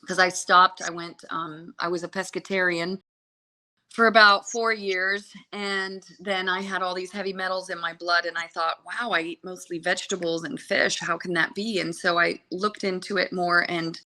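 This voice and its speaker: A woman's voice: on the low side, little pitch movement, normal speed, bright, forceful tone, rough recording. A woman with an American accent, originally from the Seychelles, telling her own story as a podcast guest in a chatty, earnest, meandering way. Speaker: podcast guest, travel journalist and social media consultant. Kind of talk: conversational interview, personal storytelling.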